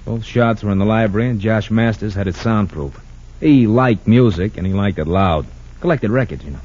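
An actor playing a blunt, dogged American police lieutenant in old-time radio drama style, matter-of-fact and clipped. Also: male voice, on the low side, normal speed, darkish tone, slightly rough. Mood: businesslike. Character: blunt, dogged male police lieutenant, sure of his theory. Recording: muffled 1940s-50s radio recording.

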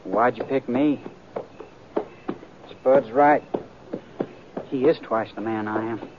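An actor playing an earnest, inexperienced cowboy companion in an old radio western, with plain rustic speech. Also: male voice, medium pitch, slow pace, lightly acted. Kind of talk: 1940s-50s radio western acting. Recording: muffled old radio recording, faint noise.

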